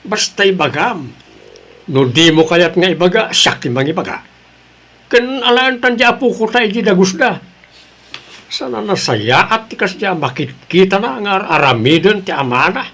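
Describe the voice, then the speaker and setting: A man's voice: high-pitched, expressive, normal speed, a bit bright, exaggerated voice. A man playing a stern, indignant old grandfather in a family audio drama.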